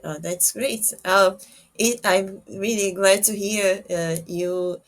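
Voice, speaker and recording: A woman hosts a casual interview at a very unhurried pace. Her medium-pitched voice comes in short, halting phrases, with pauses as she finds the next words. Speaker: podcast host.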